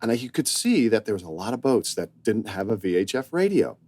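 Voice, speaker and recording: American man, halting, in a dry close recording. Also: on the low side, big pitch swings, darkish tone, dry recording. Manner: halting, repeats himself for emphasis. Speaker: podcast co-host, boat captain. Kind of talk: casual podcast chat. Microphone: remote connection.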